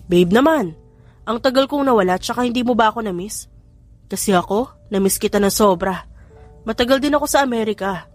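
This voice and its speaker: A woman's voice: a little high, a little fast, dry recording, close to an everyday voice. Young Filipino ex-boyfriend, played by a woman: cocky, wheedling, pleading, romance audiobook, music underneath. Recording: music bed behind voice.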